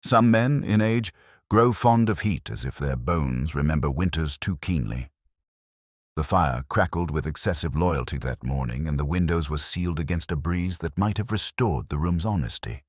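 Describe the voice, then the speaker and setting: Man, extremely low pitch, swooping intonation, brooding detective narration, measured literary delivery, husky. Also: expressive, dry recording, studio quality. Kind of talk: scripted first-person detective story narration.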